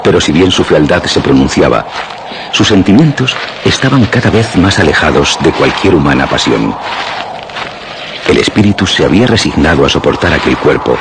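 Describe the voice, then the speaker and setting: A man with a Castilian Spanish accent narrating a radio suspense drama in measured, literary storytelling. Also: very deep voice, animated, background noise. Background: music.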